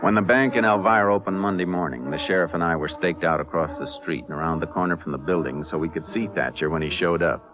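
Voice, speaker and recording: An actor playing a tough, steady Texas Ranger in a 1950s radio western, with a curt, commanding, folksy manner. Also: male voice, low-pitched, fast, few pauses, music in the background, close to an everyday voice. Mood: narrating. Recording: muffled old 1950s radio recording.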